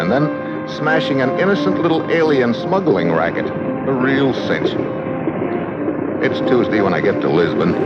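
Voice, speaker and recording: An actor playing a wisecracking, hard-boiled American agent, sardonic and clipped, in a 1950s adventure drama. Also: male voice, low-pitched. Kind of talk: 1950s adventure drama with first-person narration. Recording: muffled 1950s soundtrack under music.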